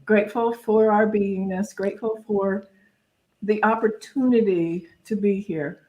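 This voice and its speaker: A woman minister leading a church service in spoken prayer, unhurried, building repeated phrases like a litany. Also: medium pitch, fairly even intonation, a little mellow, highs cut off.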